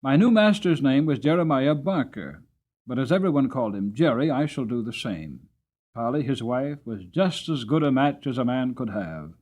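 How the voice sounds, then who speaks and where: A man reading a story aloud as a dramatic, expressive audiobook narrator. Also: mid-range voice, normal speed. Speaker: audiobook reader.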